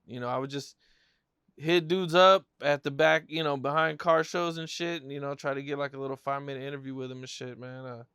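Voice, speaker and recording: A man's voice: medium pitch, fairly even intonation, fairly quick, pushed and bright, smooth, no room sound, clean studio sound. A man hosting a casual interview, asking questions in an easygoing way with lots of fillers. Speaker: interview host.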